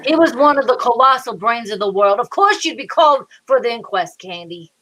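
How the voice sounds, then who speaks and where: A sardonic homicide cop acted out by a woman, pitched fairly high. He trades hard-boiled patter in 1940s radio detective style, world-weary and quick with a wisecrack. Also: expressive, bright, forceful tone, poor audio. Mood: weary, grave.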